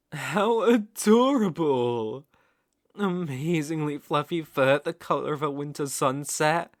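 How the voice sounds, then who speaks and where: Man playing a slow, smug British sorcerer. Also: a little high, a bit bright, no echo, clean studio sound. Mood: delighted, cooing. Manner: cooing baby talk mixed with smug menace. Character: gloating, doting, cruel male sorcerer captor. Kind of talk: ASMR roleplay acting.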